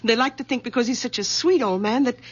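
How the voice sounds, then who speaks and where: An actress playing a protective grown daughter, earnest and pleading, in an old-time radio drama. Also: female voice, on the high side, quick pace, husky. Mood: gentle, careful. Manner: earnest, pleading, gently insistent. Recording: dull lo-fi old radio recording.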